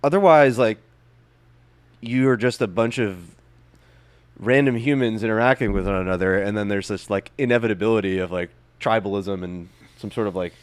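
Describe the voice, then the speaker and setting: A man with a somewhat low voice talks casually on a podcast. He argues his case in a loose, rambling way, full of fillers. The sound is dry and close. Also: moderate pace, smooth, dry recording. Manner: loose, filler-heavy, argumentative. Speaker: podcast co-host. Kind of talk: casual chat.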